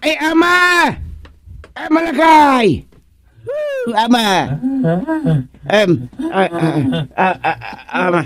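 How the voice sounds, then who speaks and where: An actor playing a flustered, slow-witted village man in an Ilocano radio comedy, stammering and exclaiming in an Ilocano accent. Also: extremely high pitch, sing-song, a bit bright, full character voice. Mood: alarmed.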